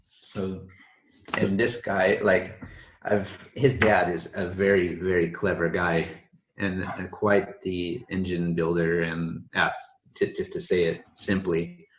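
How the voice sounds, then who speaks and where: A man's voice: low voice, level tone, takes it slowly, a little hiss or hum. A man hosting a casual podcast chat, rambling with frequent restarts and laid-back slang. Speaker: podcast host. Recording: roomy echo.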